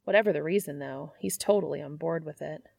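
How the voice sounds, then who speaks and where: A woman reading scripted fiction as an audiobook narrator, with expressive storytelling. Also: low-pitched, fairly quick, gravelly, no echo, studio quality.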